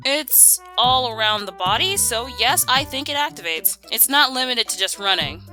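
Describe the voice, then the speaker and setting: A woman's voice: fairly high pitch, animated, moderate pace, sharp tone. A woman co-hosting a fan commentary video, chatting casually and arguing her points with emphasis. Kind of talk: casual debate among friends. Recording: music behind the voice. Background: background music.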